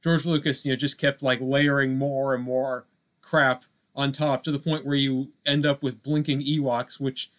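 A man with a medium-pitched voice talks casually as a film podcast co-host. He feels his way through each thought in a halting, conversational way, leaning often on small filler phrases. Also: flat delivery, fairly bright tone. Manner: halting, heavy use of "you know" and "like".

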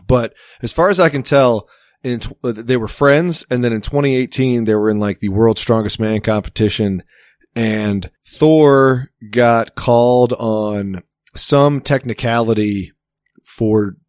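A man's voice: medium pitch, unhurried, darkish tone, no echo, clean studio sound. A young man chatting casually, telling a long story with many restarts and the odd swear word. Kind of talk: casual chat.

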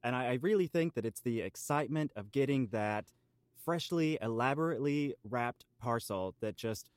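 A man's voice: mid-range voice, expressive, moderate pace, no room sound, studio quality. A man co-hosting a comedy podcast in character, with whimsical, florid, mock-earnest talk. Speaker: podcast co-host.

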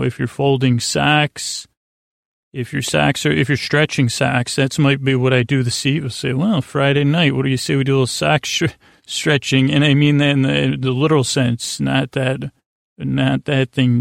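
A man with a creaky, gravelly voice, hosting a bedtime podcast in a rambling, halting monologue that wanders off into tangents and stumbles over words. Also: mid-range voice, little pitch movement, no room sound, studio quality. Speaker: podcast host. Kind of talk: rambling bedtime monologue to lull listeners to sleep.

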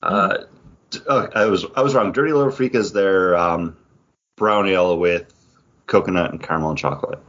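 A man's voice: low-pitched, normal speed, fairly bright tone. A man talking casually as a podcast host, in dull lo-fi audio. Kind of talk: casual chat.

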